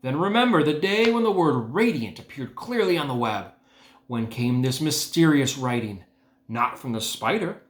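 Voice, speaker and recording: A man reading a children's book aloud as host, with expressive, emphatic phrasing. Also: mid-range voice, moderate pace. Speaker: read-aloud host, educator. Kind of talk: storybook read-aloud narration. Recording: echoey room.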